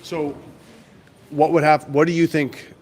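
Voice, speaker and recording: A man's voice: fairly high pitch, little pitch movement, measured pace, a little mellow. A man asking casual, friendly questions in a street interview.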